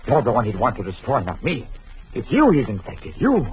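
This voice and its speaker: A man's voice: mid-range voice, huge pitch swings, brisk, heavy background noise. An actor playing an indignant, frightened young man in an old radio drama, speaking in an urgent, declamatory way. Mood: desperate. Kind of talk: 1940s radio acting. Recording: muffled old radio recording.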